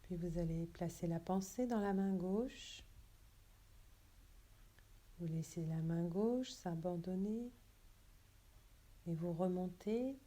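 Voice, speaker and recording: A woman yoga teacher leading a guided relaxation meditation in a soft, breathy, hushed and soothing, unhurried way. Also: on the low side.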